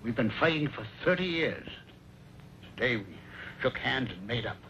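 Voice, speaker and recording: Sharp-voiced, proud older rancher. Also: male voice, mid-range voice, animated, moderate pace. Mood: resigned. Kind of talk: mid-century Western drama acting. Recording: dull, noisy old radio recording.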